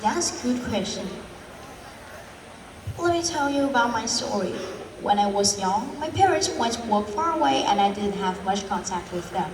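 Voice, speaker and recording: A woman playing an upbeat male confidence coach for students in a school role-play, speaking in an earnest, recited way. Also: a little high. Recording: echoey hall, distant mic, background noise.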